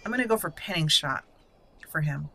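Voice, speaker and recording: A woman's voice: low voice, lively intonation, brisk, creaky, pushed and bright. A woman hosting a gaming video, giving casual roleplay commentary and thinking aloud as she plays.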